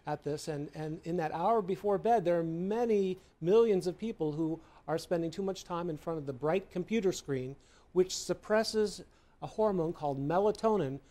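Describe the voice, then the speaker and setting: Higher-pitched American man, mellow tone. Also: moderate pace. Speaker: sleep medicine expert being interviewed. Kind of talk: explanatory expert interview.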